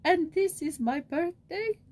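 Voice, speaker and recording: A woman's voice: very high voice, animated, slow, a little mellow, pitched above the performer's own voice, full character voice. A woman voicing a sorrowful old pauper woman in her seventies for a storybook, trembling and plaintive. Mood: sobbing, plaintive. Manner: plaintive, lamenting. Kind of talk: storybook character voice.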